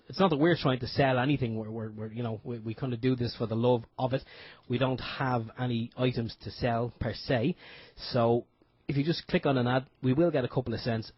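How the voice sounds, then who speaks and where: Man, husky voice, relaxed radio announcements, muffled lo-fi audio. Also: mid-range voice, dry recording. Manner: relaxed, jokey, repeats himself. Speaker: radio show co-host. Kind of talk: casual radio chat and announcements. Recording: dull lo-fi internet radio audio.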